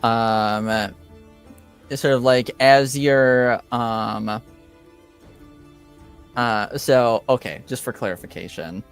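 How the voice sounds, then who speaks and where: A game master with a clear, smooth voice and an unhurried pace, improvising tabletop narration. The delivery is hesitant and halting, feeling for each next phrase. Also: medium pitch, soft background music. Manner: hesitant, halting, searching for words.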